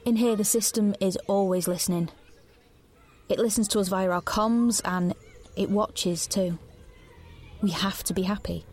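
A woman's voice: medium pitch, steady tone, measured pace, long pauses, a little mellow, slight background noise, lightly acted. Woman acting an anxious, guarded young teenager. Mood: tense, haunted. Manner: hesitant, guarded, earnest. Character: anxious, watchful thirteen-year-old narrator in a dystopia. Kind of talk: first-person audio drama narration and dialogue.

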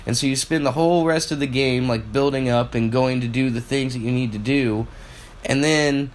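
A man's voice: mid-range voice, normal speed. A young man co-hosting a podcast, telling stories in a casual, rambling, sweary way. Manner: profane, filler-heavy storytelling. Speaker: podcast co-host. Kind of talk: casual chat.

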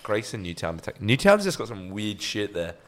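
A young man hosting a casual podcast chat, swearing freely and chiming in quickly. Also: fairly low pitch, sing-song, a bit bright. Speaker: podcast host.

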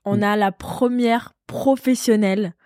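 Femme enrouée qui bavarde. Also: female voice, medium pitch, fairly even intonation, unhurried, dark tone, no echo, studio quality. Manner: playful, chatty, teasing. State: losing her voice from a cold. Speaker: podcast co-host. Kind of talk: casual chat.

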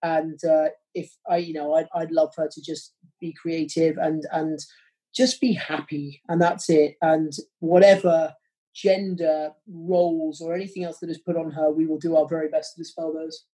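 A man answering interview questions with passionate, quick-flowing arguments. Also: on the high side, little pitch movement, mellow, poor audio. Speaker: interview guest, parent.

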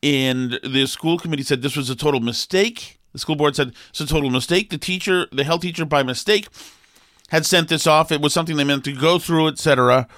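A man's voice: a little high, fairly quick, a bit bright, no room sound, clean studio sound. A man co-hosting an opinionated talk show, sniffly, rambling with lots of fillers and restarts before turning emphatic.

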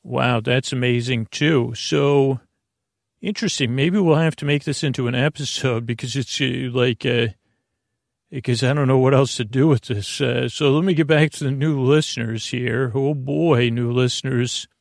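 A man with a mid-low, crackly voice hosts a sleep podcast, recorded dry with no room sound. He improvises as he goes, stumbling over repeats and drifting into silly tangents. Sentences meander and trail off. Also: moderate pace, vocal fry, no echo. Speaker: podcast host.

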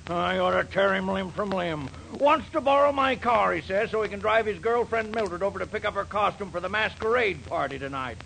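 An indignant, blustering fellow in an old 1940s radio comedy. His high, gravelly voice rants and swings about, asking questions and answering them himself. The recording is noisy and crackly. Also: fairly quick, few pauses, pushed and bright, exaggerated voice. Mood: exasperated ranting. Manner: ranting, indignant, asks and answers own questions. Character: blustery, exasperated male boarder, self-declared good-natured slob.

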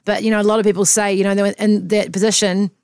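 A woman's voice: mid-range voice, flat delivery, fast talker, a little mellow, no room sound, clean studio sound. A woman from New Zealand with a New Zealand accent, hosting a casual interview in a chatty, agreeable way. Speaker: interviewer host.

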